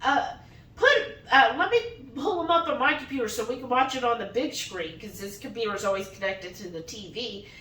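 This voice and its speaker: A woman's voice: a little high, brisk, slight background noise. A woman telling a personal story casually as a vlog host, animatedly acting out the dialogue as she retells it. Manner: animated retelling, often quoting dialogue in acted voices. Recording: roomy echo, distant microphone.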